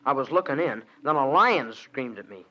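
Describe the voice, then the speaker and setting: A man's voice: medium pitch, lively intonation, normal speed, bright, forceful tone. An actor playing an eager young ranch boy in a 1940s radio western, talking in a breathless rush. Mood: earnest. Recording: dull old radio recording.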